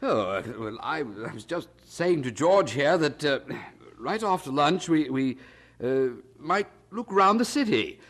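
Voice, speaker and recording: An actor playing a hearty, blustering older brother in an 18th-century audio drama, with a husky voice and a condescending manner. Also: male voice, fairly high pitch, lively intonation, measured pace, a bit bright. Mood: flustered, evasive. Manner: chuckling, hearty, condescending, stammers when evasive.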